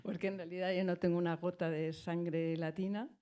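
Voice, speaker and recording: A middle-aged woman with a mellow, rather low voice talks through ideas on a panel. She is thoughtful and halting, with many restarts and fillers. She speaks as a musician from Spain. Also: little pitch movement. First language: Spanish. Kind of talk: public talk on a panel.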